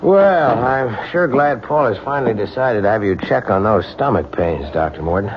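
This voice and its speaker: An actor playing an excitable, earnest husband in a radio drama, with dramatic emphasis. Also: male voice, lowish voice, moderate pace, close to an everyday voice. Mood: astonished. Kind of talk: 1970s radio drama acting. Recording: muffled, noisy old radio broadcast.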